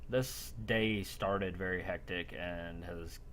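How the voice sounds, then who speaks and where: Blunt, low-voiced man running a role-play game. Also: steady tone, takes it slowly, a bit bright. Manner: blunt, casual, swears. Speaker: game master. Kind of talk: narrating a live tabletop-style role play. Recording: noisy online voice chat.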